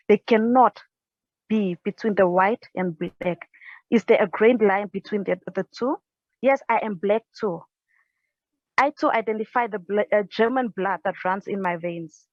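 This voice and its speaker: A woman's voice: mid-range voice, no room sound. Young woman doing spoken word on her couch, earnest, stumbles a bit, dull lo-fi earphone mic. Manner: earnest, halting, with restarts and small laughs. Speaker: poet. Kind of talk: spoken word poetry recital. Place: indoors on a couch at home. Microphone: earphone headset mic. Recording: dry, dull lo-fi sound.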